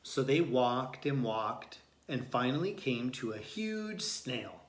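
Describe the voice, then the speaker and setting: A male teacher talks to students and reads a picture book aloud. His medium-pitched voice moves in lively swings, recorded in a roomy, echoing space. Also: slow.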